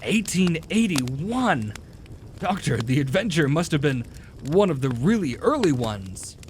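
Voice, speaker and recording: A man's voice: a little high, big pitch swings, unhurried, slight background noise. A man playing an eager, courteous announcer and host in the manner of an old-time radio mystery. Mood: courteous interest. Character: eager, courteous male announcer visiting old Doctor Watson.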